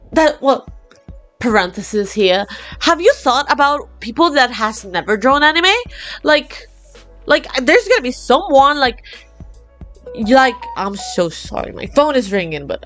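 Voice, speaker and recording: Bubbly young woman with a Latin American Spanish accent, a touch high-pitched, chatty vlogger, soft music underneath. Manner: bubbly and excitable, laughs often, lively swings in pitch. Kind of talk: casual chat to camera.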